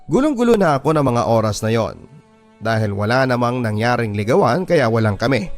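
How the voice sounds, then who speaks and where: Casting: male narrator for scripted radio drama, mid-pitched, first-person dramatic letter reading over a music bed. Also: big pitch swings, fairly quick.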